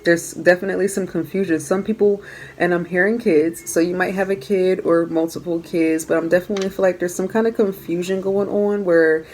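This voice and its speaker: A woman giving a casual tarot reading to her viewers, talking earnestly and repeating her phrases, her voice going hoarse. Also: on the low side, steady tone, brisk, a little mellow, a little hiss or hum, rough recording. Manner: earnest, repeats phrases while coaching the listener. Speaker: tarot reader.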